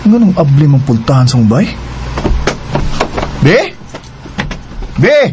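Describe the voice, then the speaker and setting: An actor with a Cebuano Filipino accent playing a remorseful, unfaithful husband in a radio drama, hesitant and emotional. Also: male voice, medium pitch, big pitch swings, slow pace, background noise. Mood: earnest, then worried. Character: remorseful unfaithful husband and father, pleading, struggling for money. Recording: radio drama with music underneath.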